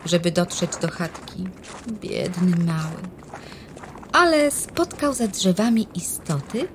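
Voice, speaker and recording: A woman narrating a rhyming children's story in a gentle, measured way. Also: lowish voice, background noise. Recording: narration over music.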